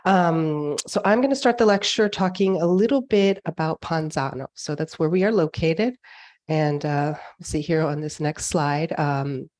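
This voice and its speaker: A woman's voice: low voice, measured pace, a little mellow. A woman from California with a Californian accent, giving a slide lecture on a video call for a winery, chatty with frequent ums and uhs. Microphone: video call microphone.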